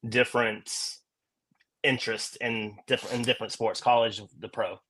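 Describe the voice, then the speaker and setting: A man co-hosting a podcast in casual conversation, measured, repeating words as he thinks. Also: medium pitch, fairly even intonation, fairly bright tone, slightly rough, no room sound, poor audio. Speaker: podcast co-host. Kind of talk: casual chat.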